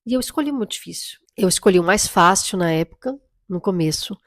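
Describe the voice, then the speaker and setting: A hoarse woman, talking casually about motherhood while getting over a cold, chatty and reflective. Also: mid-range voice, expressive, a little fast, no echo, studio quality. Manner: chatty, reflective, often tags lines with "right?" and "you know?". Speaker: mother vlogger. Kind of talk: casual chat to camera.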